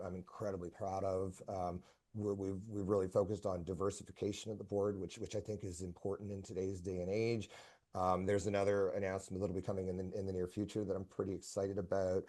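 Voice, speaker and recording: Guy, voice a bit shot and hoarse, lowish, super passionate, repeats words a lot. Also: fairly quick. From Canada. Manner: passionate, energetic, repeats himself. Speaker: guest, association president. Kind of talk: casual interview chat. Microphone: video call.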